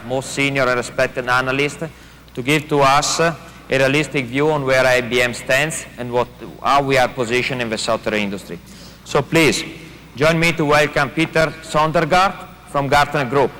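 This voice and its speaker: Exec guy introducing a speaker, bright voice, some uhs, echoey hall. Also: mid-range voice, steady tone, moderate pace. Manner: non-native grammar, hesitant fillers. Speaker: executive presenter introducing guest. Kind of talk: conference keynote introduction. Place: large conference hall. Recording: long hall reverb, faint noise.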